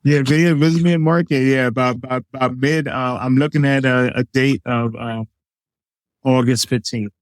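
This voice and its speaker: A man's voice: medium pitch, fairly even intonation, normal speed, no echo. A middle-aged man from Liberia, a chef talking through his food with plenty of examples and fillers. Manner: easygoing, explains with examples, many fillers. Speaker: chef, guest. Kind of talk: casual interview talk.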